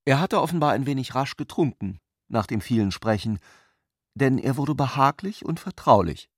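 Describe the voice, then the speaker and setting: A man's voice: medium pitch, lively intonation, normal speed, dry recording, studio quality. A man reading literary prose and its dialogue as the narrator of a radio play.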